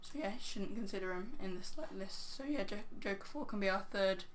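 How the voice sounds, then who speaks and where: A woman with a crackly, hoarse voice giving casual, rambling video game commentary, full of "um" and "yeah". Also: medium pitch, fairly quick. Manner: rambling, filler-heavy, "um, yeah". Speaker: game channel host.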